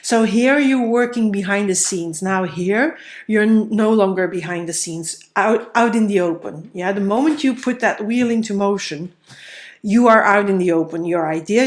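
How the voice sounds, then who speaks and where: A woman's voice: mid-range voice, normal speed. A woman with a cold and a slightly nasal voice, thinking aloud as she gives a tarot card reading, with many fillers and restarts. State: stuffy nose from a cold. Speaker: tarot reader.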